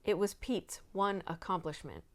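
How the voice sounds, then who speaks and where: A woman's voice: on the low side, expressive, normal speed. A woman narrating a children's novel aloud, acting out the dialogue expressively. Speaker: storybook reader.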